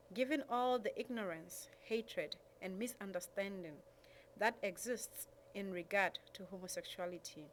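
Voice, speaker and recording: A woman's voice: medium pitch, fairly bright tone, rough recording. Woman reading aloud over background noise. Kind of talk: reading a prepared statement aloud. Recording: clear background noise and room ambience.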